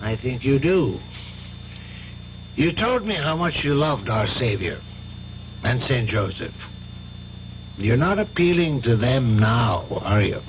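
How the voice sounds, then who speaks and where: An actor in a radio drama playing a grave, patient priest who admonishes in a solemn, measured way. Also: male voice, medium pitch, sing-song. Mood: grave, admonishing. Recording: muffled old radio recording with hum.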